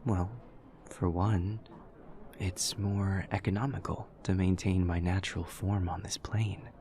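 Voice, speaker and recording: A very deep-voiced, awkward demon student played by a man speaks with a creaky rasp at the bottom of his range. He is formal and literal, choosing exact words in a soft, measured audio-roleplay delivery, earnest and utterly polite. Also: level tone. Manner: stiff, precise, literal, measured formal diction. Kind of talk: audio roleplay, scripted monologue to a listener.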